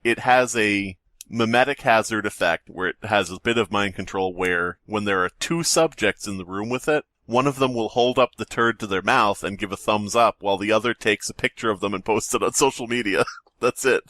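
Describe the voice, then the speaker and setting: A man's voice: lowish voice, moderate pace, pushed and bright, no echo. A man co-hosting a comedy podcast, reading aloud and breaking off into joking, incredulous asides in casual chat. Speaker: podcast co-host.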